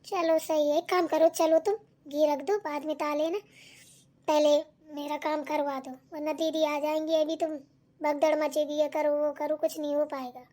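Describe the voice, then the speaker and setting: A woman's voice: high voice, little pitch movement, normal speed, dark tone, lightly acted. A woman voicing grumbling, gossipy village women in a family cartoon, talking in a colloquial, complaining way. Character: chatty village daughters-in-law and nagging mother-in-law, grumbling.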